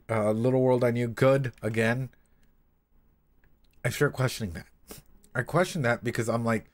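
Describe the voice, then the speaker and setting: A man hosting a livestream in a casual, slangy, sweary way, rambling through reaction and analysis and often repeating his phrases. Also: medium pitch. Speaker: livestream host and practising therapist.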